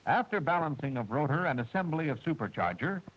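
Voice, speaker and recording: A man's fairly high voice narrates a wartime instructional film with precise, formal diction and a lively, rising and falling delivery. His tone is husky, and the old soundtrack is dull and muffled with a bit of music beneath it. Every step is explained in a measured, steady manner.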